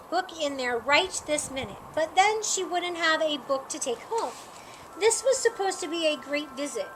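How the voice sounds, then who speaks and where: A woman reading a children's picture book aloud in an animated storytime manner, acting out dialogue and sound words. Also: sits high. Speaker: storytime reader. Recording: clearly noisy background.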